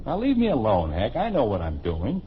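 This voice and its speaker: Lively radio sitcom dad, easily exasperated. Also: male voice, mid-range voice, brisk. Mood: confident, testy. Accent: American. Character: good-natured, easily exasperated suburban father. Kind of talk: 1950s American radio sitcom acting. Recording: muffled, noisy old radio recording.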